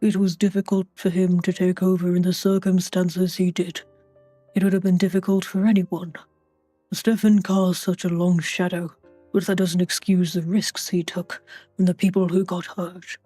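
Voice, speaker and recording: A woman voicing a grave, brooding monster man in a read-aloud novel, with a deep, strained voice, solemn and halting. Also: little pitch movement, dark tone, no echo, faint music behind, lightly acted. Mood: grave, regretful. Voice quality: deep, throat-strained put-on voice.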